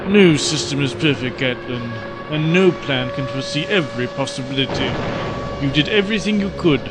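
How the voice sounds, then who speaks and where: An actor playing a grave, steady elder councillor in an audio drama, speaking in a measured, formal way. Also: male voice, medium pitch, close to an everyday voice. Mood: grave, consoling. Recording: loud music under the voice.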